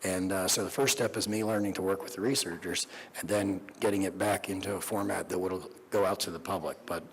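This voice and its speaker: An older man who has lost his voice, speaking earnestly and haltingly as a speaker at a conference, with many fillers. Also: low-pitched, fairly even intonation, a little hiss or hum. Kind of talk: informal speech to an audience. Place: large conference hall. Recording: reverberant hall.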